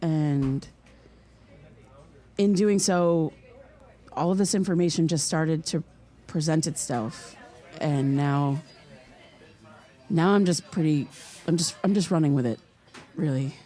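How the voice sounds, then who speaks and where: A woman's voice: sits low, slow, long pauses, mellow. A woman playing an earnest, sleepless podcast host in an audio drama, speaking in a hesitant, searching way. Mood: tentative, candid. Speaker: podcast host interviewing a witness. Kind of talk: naturalistic audio drama dialogue.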